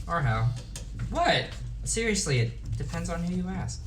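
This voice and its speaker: Woman acting a low-voiced, anxious young dreamer. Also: big pitch swings, unhurried, reverberant, background noise. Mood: skeptical, teasing. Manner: hesitant, rambling, wryly comic. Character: Japheth, discouraged, anxious, meandering young musical dreamer. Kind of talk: script table read of a musical comedy.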